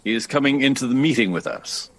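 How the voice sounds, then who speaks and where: A man playing a suave, measured older jazz bandleader and father in an audio drama. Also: mid-range voice, close to an everyday voice. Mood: suave, placating.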